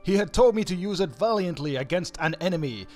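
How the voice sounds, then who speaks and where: A man's voice: sits high, expressive, brisk, a little mellow. A man narrating a comic story reading in a theatrical, mock-serious way. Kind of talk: dramatic comedic story reading. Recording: music behind the voice.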